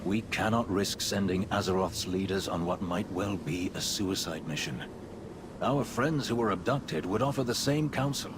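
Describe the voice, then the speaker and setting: A man playing an earnest, burdened leader in a fantasy video game, speaking in a measured, statesmanlike way. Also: deep voice, noisy background, natural voice. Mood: sober, troubled. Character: earnest, burdened male leader of his people.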